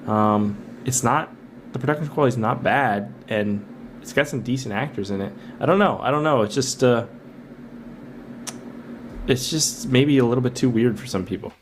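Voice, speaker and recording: A man's voice: medium pitch, normal speed, slight background noise. A man co-hosting a podcast, discussing a film casually and informally, thinking aloud as he goes. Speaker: podcast co-host.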